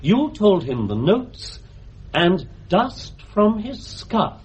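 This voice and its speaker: An actor playing a polite, persistent English defence barrister in a radio courtroom drama, formal and measured. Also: male voice, high voice, big pitch swings, darkish tone, exaggerated voice. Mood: courteous. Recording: dull, noisy archive radio recording.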